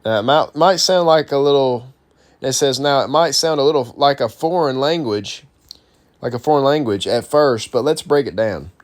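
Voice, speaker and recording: A man's voice: medium pitch, animated, moderate pace. A man presenting an instructional guide, reading a script aloud in an informal, explanatory way. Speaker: presenter of a CB radio how-to guide.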